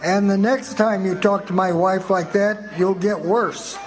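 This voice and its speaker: An actor playing an angry, belligerent husband in a live radio play. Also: male voice, sits high, fairly even intonation, a little slow, faint music behind. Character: angry male tough husband. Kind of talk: live radio drama acting.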